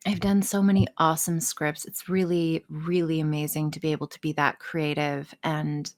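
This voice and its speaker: Woman, slightly low voice, candid podcast chat, sweary, self-deprecating, frank. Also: level tone, normal speed. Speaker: voice actress and audio creator being interviewed.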